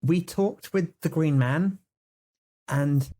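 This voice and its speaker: A hesitant young magic student voiced by a woman in a tabletop game. The voice is deep and soft-edged, with a flustered, literal manner. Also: takes it slowly. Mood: earnest. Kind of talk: improvised tabletop roleplay in character.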